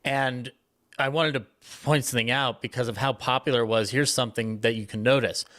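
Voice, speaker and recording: A man's voice: medium pitch, steady tone, fairly quick, a bit bright. A man on a discussion panel, talking informally about history and building his points emphatically with repeated phrases.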